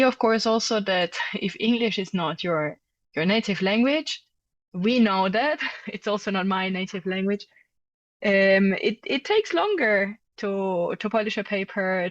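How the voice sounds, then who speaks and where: A woman's voice: medium pitch, no echo. A woman, a journal editor, answering interview questions in a thoughtful, hesitant way with many fillers. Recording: dull, dry lo-fi audio.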